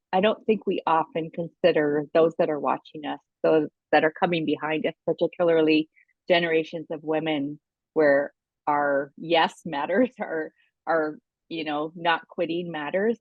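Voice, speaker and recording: Female podcast host on a narrow, phone-like connection; lowish, creaky voice, attentive and affirming in conversation. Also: steady tone, moderate pace, no room sound. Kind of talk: conversational interview. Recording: thin phone-like line, dry.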